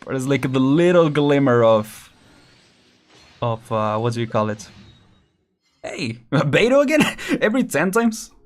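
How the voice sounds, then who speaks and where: A man's voice: mid-range voice, a little slow, pauses often. Chatty laughing young man streaming a game. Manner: chatty, laughs often, counts down excitedly. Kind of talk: casual live gameplay commentary.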